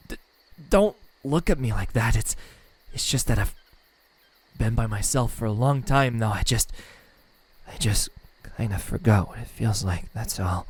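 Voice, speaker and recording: Here is a man acting as a lonely immortal demon in an ASMR roleplay. His voice is low and raspy, and he speaks very slowly. He is menacing and condescending, yet halting, with a tender side underneath. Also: full character voice. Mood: flustered, shy. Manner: slow, halting, condescending speech with stammers and sighs. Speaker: voice actor.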